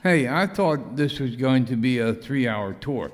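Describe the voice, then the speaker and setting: A fussy, protective male castaway, played by a man, talks slowly with very lively inflection in radio-skit style. His voice rings in an echoey hall. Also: medium pitch, lightly acted. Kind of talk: amateur radio comedy skit acting.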